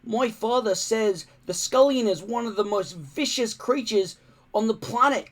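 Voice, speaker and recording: A man's voice: high-pitched, a little slow, voice pushed up. A man voicing a cocky, argumentative young Viking boy in a storybook, speaking eagerly and emphatically. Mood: boastful.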